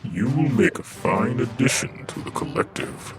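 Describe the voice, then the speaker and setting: A man playing a sinister, commanding armored dark knight in a fantasy audio drama, with a slow, gravelly menace. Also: mid-range voice, over music, natural voice. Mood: cold command.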